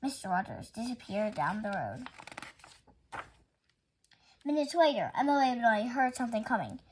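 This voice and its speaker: A woman's voice: fairly high pitch, big pitch swings, moderate pace, slight background noise. A woman reading a children's picture book aloud as a storytime host.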